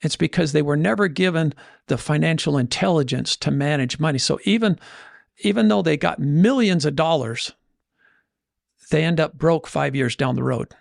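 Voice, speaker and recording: A man's voice: on the high side, moderate pace, creaky, no room sound, studio quality. A man speaking conversationally as a podcast host and financial coach, motivational in style, often voicing imagined inner dialogue to make his points. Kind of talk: conversational motivational money talk.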